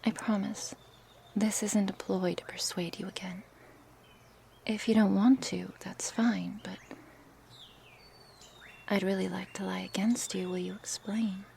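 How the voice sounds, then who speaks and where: Woman acting a female lamia, gentle and coaxing, breathy half-whisper, creaky edges, unhurried hesitant delivery, intimate ASMR roleplay. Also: medium pitch, fairly even intonation, slight background noise. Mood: earnest, pleading. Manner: slow, hesitant, soft-spoken with sighs and pauses. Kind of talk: ASMR roleplay, intimate one-sided dialogue.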